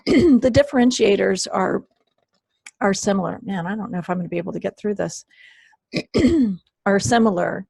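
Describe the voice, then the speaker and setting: Woman, hoarse, losing her voice, apologetic, throat-clearing, workshop presenter, dry close mic. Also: medium pitch, normal speed, pauses often, warm tone, no echo, rough recording. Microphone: computer mic on a video call.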